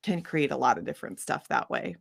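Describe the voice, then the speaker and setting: Sunny chatty woman, lowish voice, singer type doing a casual interview. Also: animated, fairly quick, vocal fry, slightly rough. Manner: bubbly, sunny, informal. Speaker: interview guest, singer-songwriter.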